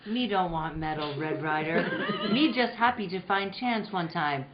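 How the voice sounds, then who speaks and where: A woman's voice: lowish voice, measured pace, close to an everyday voice. A woman playing a modest, eager young boy sidekick who speaks broken English in a radio western. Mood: humble. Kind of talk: 1940s radio western acting. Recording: muffled, noisy room recording.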